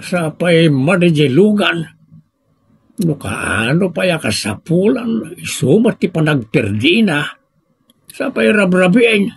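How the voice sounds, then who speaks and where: A man's voice: high voice, sing-song, takes it slowly, husky, no room sound, heavily acted. A man playing an exasperated, chiding village husband in an audio comedy drama. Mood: urgent, reproachful. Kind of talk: Ilocano radio-style comedy drama acting.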